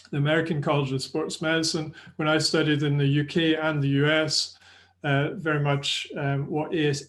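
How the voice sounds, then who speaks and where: A middle-aged man with a Scottish accent presents a live online lecture over a video call, friendly and chatty, with fillers and restarts. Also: a little high. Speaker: webinar presenter. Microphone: video call webcam.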